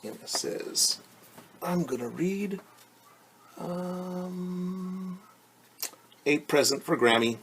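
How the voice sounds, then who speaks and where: A man's voice: high-pitched, expressive, extremely slow, pauses often, fairly bright tone, slight background noise. A man teaching young children, reading a picture book aloud and patiently explaining it in simple terms with questions.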